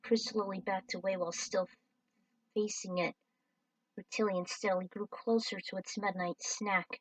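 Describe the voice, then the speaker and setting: A woman's voice: mid-range voice, fairly even intonation, moderate pace, no room sound, recorded from a distance, poor audio. A woman reading her own fan story aloud in a casual, offhand way. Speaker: fan-fiction reader.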